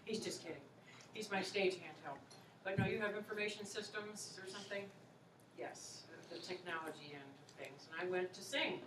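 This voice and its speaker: Chatty woman in her 40s, bright voice, recorded from far off in an echoey school hall. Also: on the low side, normal speed. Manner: chatty, encouraging, many "you know"s. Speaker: opera singer and voice teacher, guest speaker. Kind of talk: casual talk and Q&A with students. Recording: echoey room, far from mic, faint hiss.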